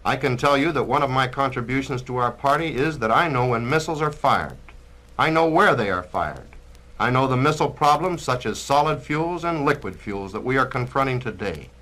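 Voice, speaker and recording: A man plays a self-important communist trade unionist in a record drama. His voice is bright and forceful, at a middle pitch. He holds forth like a pompous orator, boastful about his work. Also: animated, brisk, poor audio. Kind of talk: dramatized spoken-word record acting.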